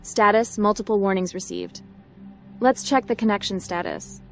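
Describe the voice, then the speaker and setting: A woman's voice: medium pitch, moderate pace, soft background music, natural voice. A woman voicing a plucky young female hacker and adventurer, the protagonist of a video game roleplay. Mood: focused, self-talk.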